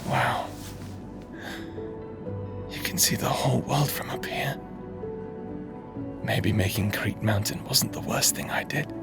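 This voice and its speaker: An actor playing a weary, tender husband in a dramatic audio roleplay, speaking wistfully and murmuring with breathy pauses. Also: male voice, medium pitch, loud music behind the voice. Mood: tender, solemn.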